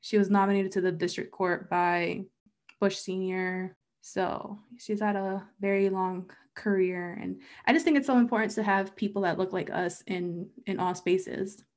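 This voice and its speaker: Female podcast co-host, casual and chatty, mid-pitched, leans on fillers. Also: fairly even intonation, normal speed. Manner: chatty, fills with "like" and "um", reads out facts. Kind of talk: casual chat.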